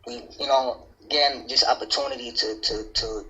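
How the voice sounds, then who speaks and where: A man's voice: medium pitch, fairly even intonation, moderate pace, sharp tone, soft background music. A man from New Jersey talking casually and earnestly as an interview guest, in a motivational way. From New Jersey, United States. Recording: dull, muffled lo-fi sound.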